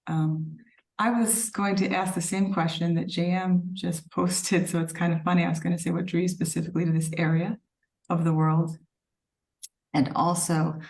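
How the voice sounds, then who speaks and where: Lower-voiced woman asking from afar. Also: darkish tone. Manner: friendly, rambling. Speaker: audience member asking a question. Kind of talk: casual question. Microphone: video call. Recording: distant from the mic.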